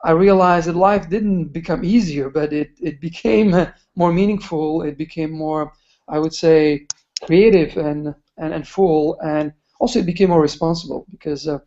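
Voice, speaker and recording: A man's voice: a little high, a little mellow. A man sharing a personal story on a webinar, hesitant and searching for words. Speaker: webinar participant. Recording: muffled webinar call audio.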